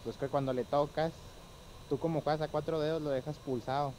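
A man's voice: a little high, fairly even intonation, fairly quick, a little mellow, a little hiss or hum. Laid-back young man streaming a game. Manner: laid-back, coaching teammates. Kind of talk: casual gaming chat. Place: small room at home.

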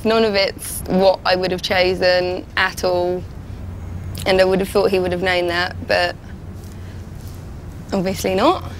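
Young British woman with a creaky voice. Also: lowish voice, little pitch movement, measured pace, long pauses, slight background noise, rough recording. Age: 20s. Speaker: bride on a wedding reality show. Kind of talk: candid reality-show talk. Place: indoors, bridal shop.

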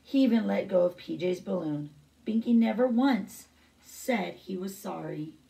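A woman's voice: mid-range voice, expressive, unhurried, creaky, dark tone, distant mic, low-quality recording. A middle-aged woman reading a picture book aloud as a teacher, with brief asides.

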